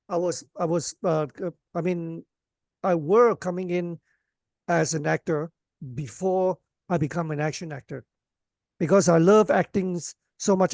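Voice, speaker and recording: High-voiced man, chatty podcast guest. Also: vocal fry, dry recording, studio quality. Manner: relaxed, rambling, frequent "you know what I mean", laughs often. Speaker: actor and action choreographer guest. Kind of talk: casual podcast interview.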